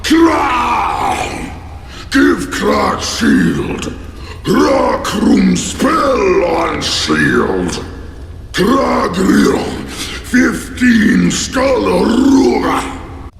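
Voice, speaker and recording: A man voicing a savage game monster in a gravelly croak, with halting broken grammar and barking outbursts. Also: high-pitched, wildly swooping pitch, full character voice. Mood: demanding, excited. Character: savage skull-collecting monster speaking broken language. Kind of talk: video game character acting. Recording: echoey, noisy game audio.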